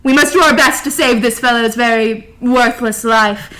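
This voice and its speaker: A woman playing a stern, dramatic older woman in a theatrical drama, imperious, emphatic and deliberate. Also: fairly high pitch, fairly even intonation, a bit bright, natural voice. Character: stern, bossy, dramatic grandmother running an inn. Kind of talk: theatrical stage acting.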